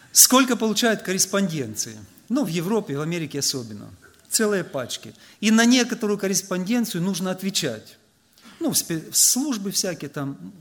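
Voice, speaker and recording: Higher-voiced man preaching with anecdotes. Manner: conversational, anecdotal, frequent filler "vot". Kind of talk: storytelling sermon to a congregation.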